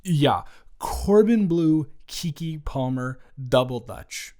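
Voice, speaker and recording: A young American man improvising a casual roleplay chat, talking emphatically and haltingly, repeating words for stress. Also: a little high, lively intonation, mellow. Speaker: audio roleplay performer.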